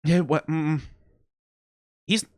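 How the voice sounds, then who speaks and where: A man's voice: fairly high pitch, steady tone, slow, dry recording, studio quality. A man hosting a reaction video, giving rambling, analytical criticism full of "like" and "right?" with a wry, profane edge. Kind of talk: casual critical commentary.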